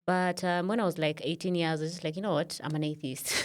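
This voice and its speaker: A woman's voice: low voice, flat delivery, brisk. A Kenyan woman around thirty, a podcast guest in a studio, telling her story in a reflective way with many fillers. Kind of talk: casual interview talk.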